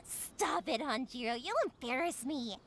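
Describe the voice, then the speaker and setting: A woman voicing a cheerful, excitable young fireworks shopkeeper in an anime-style video game, giggly and prone to exclaiming. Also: high voice, a little slow, noisy background. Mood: bashful, warm. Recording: game music behind the voice.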